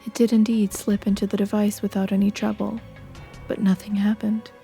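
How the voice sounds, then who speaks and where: A woman narrating a horror adventure game in a calm, scripted second-person style. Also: mid-range voice, brisk, vocal fry, lightly acted. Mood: calm, measured. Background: faint music.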